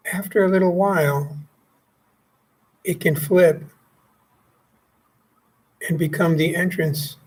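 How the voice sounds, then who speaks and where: A man leading a guided meditation as a teacher, speaking calmly and gently in short phrases with pauses. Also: fairly high pitch, slightly rough, poor audio. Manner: calm, gently instructive, pausing between short phrases.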